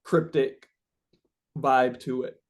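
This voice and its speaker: A man being interviewed as a musician, talking casually and haltingly as he searches for the right words. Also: mid-range voice, fairly even intonation.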